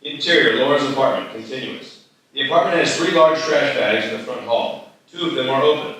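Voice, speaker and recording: A man narrates stage directions at a live script reading. His voice is bright and comes from away from the mic, in a very echoey hall with some ambient noise. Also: mid-range voice, fairly quick, recorded from a distance.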